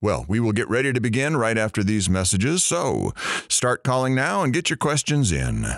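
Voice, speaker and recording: A man's voice: medium pitch, sing-song, moderate pace, slight background noise. A man playing a wisecracking, sarcastic radio talk show host in an audio drama. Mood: joking banter.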